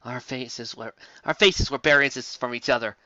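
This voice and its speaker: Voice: medium pitch, fairly even intonation, normal speed, bright, forceful tone, muffled recording, a little hiss or hum. A theatrical, exasperated host giving a comic dramatic reading of fan fiction, with asides.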